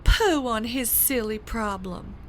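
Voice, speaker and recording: A man-hungry, pouty political wife in a comic audio drama. She drawls slowly and flirtatiously, with big swoops of pitch. Faint noise sits behind her. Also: lively intonation. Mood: pouty, dismissive. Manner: flirtatious, languid, dismissive. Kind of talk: comedic audio drama acting.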